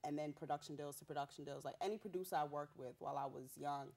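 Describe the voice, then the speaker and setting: A young woman rapper from Haiti, a Haitian Creole speaker, telling her story in a bubbly, animated way with street slang. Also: deep voice, fast talker, vocal fry. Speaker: rapper guest. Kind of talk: casual interview.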